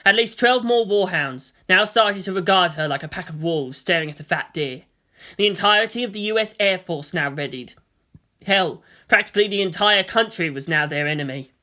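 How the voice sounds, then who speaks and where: Guy narrating a fanfic story all dramatic, high sharp bright voice. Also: expressive, normal speed. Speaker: fan fiction narrator.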